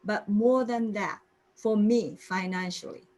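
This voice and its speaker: Japanese-accented woman in her sixties, unhurried. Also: mid-range voice, darkish tone. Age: 60s. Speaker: guest speaker sharing her story. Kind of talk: personal testimonial on a video call. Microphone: video call.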